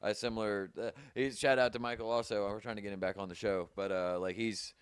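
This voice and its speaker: A man hosting a casual comedy podcast, joking and swearing freely with quick asides. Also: on the low side, fairly even intonation, clean tone, no room sound. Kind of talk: casual chat.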